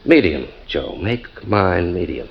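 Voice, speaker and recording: A man's voice: deep voice, very animated, slow pace, gravelly, exaggerated voice. A man playing a genial but menacing husband in a 1940s radio drama, coaxing and chuckling, on a dull old recording.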